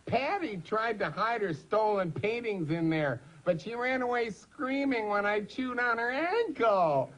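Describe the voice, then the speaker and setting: Slow, languid giant cockroach. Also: male voice, high-pitched, expressive, fairly bright tone. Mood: languid, cozy. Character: languid, contented giant cockroach lounging in his hotel. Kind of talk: comic TV puppet-costume character sketch.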